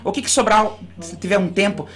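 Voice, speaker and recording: An earnest woman talks as a guest in a radio studio interview. She is a school director, and her voice sits at an ordinary middle pitch. She builds long explanations and often stops to restart her sentences. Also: fairly quick.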